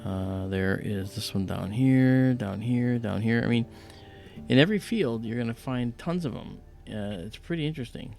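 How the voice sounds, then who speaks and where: A man with a deep voice from a damaged vocal cord, hosting a livestream in casual, explanatory chat with animated storytelling. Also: normal speed, gravelly, soft background music. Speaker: livestream host and astronomy presenter.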